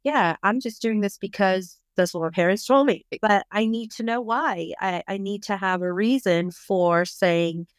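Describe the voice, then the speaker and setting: A middle-aged woman from Bangladesh, a parenting coach, speaking as a podcast guest in a reflective, measured way. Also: medium pitch, smooth, no echo. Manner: reflective, measured, thoughtful explaining. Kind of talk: podcast interview.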